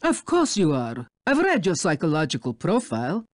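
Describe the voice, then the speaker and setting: An actress playing a condescending, menacing spider villainess in a video game, with a silky manner. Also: female voice, low-pitched, wildly swooping pitch, normal speed, darkish tone, no echo, clean studio sound. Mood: smug. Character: sinister, condescending spider villainess, a secretly corrupt Interpol official.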